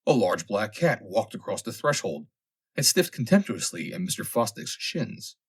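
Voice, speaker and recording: Husky, expressive low-voiced man narrating a story. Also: normal speed. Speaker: audiobook narrator. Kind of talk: scripted narration of a humorous vintage story.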